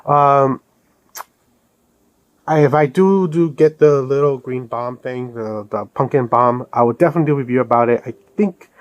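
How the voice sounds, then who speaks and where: A man's voice: medium pitch, normal speed, dry recording, dull audio. A man giving a casual, rambling product review as an enthusiastic fan of collectibles. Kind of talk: casual unboxing review vlog.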